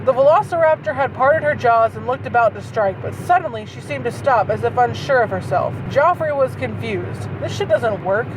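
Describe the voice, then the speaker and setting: A man with an exceptionally high voice hosts a casual comedic read-aloud. He is profane and incredulous, cutting into the text with mocking asides. The mic is distant and there is clear road noise from a moving vehicle. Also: expressive, moderate pace, hardly any pauses. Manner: profane, incredulous, breaks off reading to mock it. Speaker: host reading bad fan fiction aloud.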